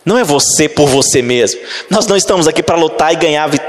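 A man's voice: fairly high pitch, lively intonation. Preaching man in a reverberant church. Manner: preaching with rising, shouted emphasis and slow drawn-out scripture reading. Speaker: pastor. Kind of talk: sermon. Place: church stage, large hall. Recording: long hall reverb.

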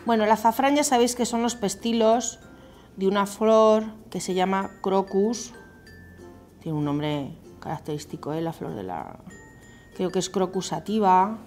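A woman's voice: medium pitch, expressive, unhurried, a little mellow, faint music behind. A middle-aged woman with a Peninsular Spanish accent, presenting an informal cooking tutorial as a chef and often checking in with viewers conversationally. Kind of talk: informal explanatory cooking talk to camera. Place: indoor kitchen set.

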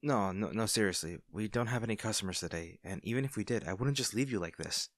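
A man's voice: on the low side, fast talker, a bit bright, no room sound. A man playing a friendly, caring young barista in an intimate audio roleplay, talking in a casual, hesitant and attentive way. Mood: concerned, gentle. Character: friendly, caring young male barista, teasing and easily flustered.

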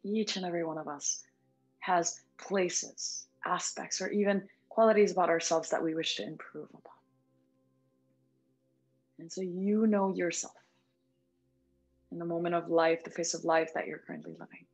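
Low-pitched woman guiding meditation over music. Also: steady tone. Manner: gentle, soothing, unhurried with long pauses. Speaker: yoga nidra meditation instructor. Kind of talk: guided meditation. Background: background music.

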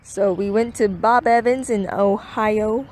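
A woman's voice: mid-range voice, fairly even intonation, measured pace. A woman in her twenties chatting offhandedly while vlogging a road trip from a car, her phone held at arm's length. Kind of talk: casual travel vlog. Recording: clear road and car noise.

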